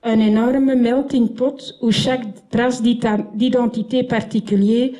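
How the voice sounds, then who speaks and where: A Flemish woman whose first language is Dutch, reading a prepared conference speech in a halting way and often correcting herself. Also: on the high side, steady tone, mellow. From Flanders, Belgium. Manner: halting, with frequent self-corrections and restarts. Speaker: former politician giving a conference speech. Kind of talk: prepared speech read from paper. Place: conference in Paris.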